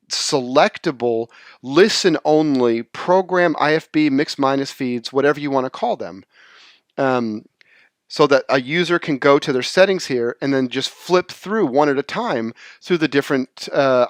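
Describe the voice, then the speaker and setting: A man presenting an unscripted software tutorial, explaining in a halting way and rephrasing as he goes. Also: medium pitch, no echo, studio quality. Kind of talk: informal screen-share tutorial, unscripted explanation.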